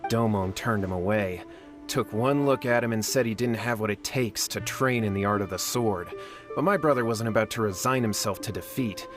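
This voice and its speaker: A man's voice: mid-range voice, close to an everyday voice. A man voicing a desperate young man in a video game, earnest and anxious as he pleads for help.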